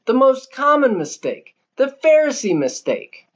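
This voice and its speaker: A man's voice: very high-pitched, big pitch swings, unhurried, dull audio. A man narrating a novel as an audiobook, reading from a script.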